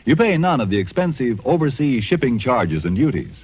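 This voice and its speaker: Male vintage radio pitchman: low, very animated, polished and emphatic; lo-fi sound. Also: brisk, husky. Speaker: commercial announcer. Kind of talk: 1940s radio advertisement, scripted narration. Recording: dull lo-fi vintage radio, music behind.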